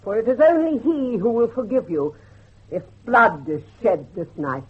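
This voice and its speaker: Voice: mid-range voice, lively intonation, normal speed, slightly rough. An actor playing a pious old grandmother in a period horror audio drama, solemn, impassioned and formal. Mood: grave, foreboding. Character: fervent, pious old grandmother guarding a dark family secret. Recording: dull lo-fi audio.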